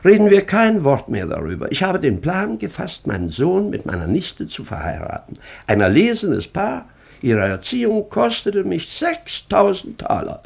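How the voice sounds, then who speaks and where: Pompous, swooping baron, gravelly voice. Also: male voice, sits high, wildly swooping pitch, normal speed, a little mellow, heavily acted. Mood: indignant, self-important. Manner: pompous, declamatory. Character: pompous, fussy old baron, easily piqued father. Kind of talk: German radio play of a classic stage comedy.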